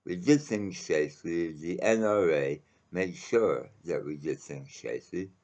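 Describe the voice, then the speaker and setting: A man with a very low voice recounts a personal story casually. His delivery is unhurried and halting, rambling along with frequent pauses. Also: a little mellow.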